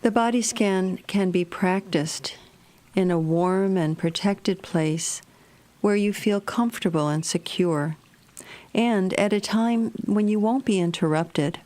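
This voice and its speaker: A woman narrating a guided meditation in a gentle, measured, soothing manner. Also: mid-range voice.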